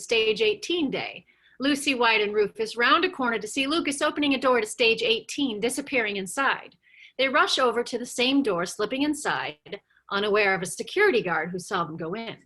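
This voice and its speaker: Bright-voiced woman narrating a screenplay. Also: on the high side. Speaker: narrator reading stage directions. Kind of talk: scripted screenplay narration for a table read.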